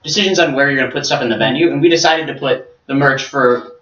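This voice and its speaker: A man talks quickly with a bright, sharp tone while co-hosting a casual podcast. He sounds distant from the mic in a roomy space. The audio is dull and lo-fi. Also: mid-range voice. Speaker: podcast co-host.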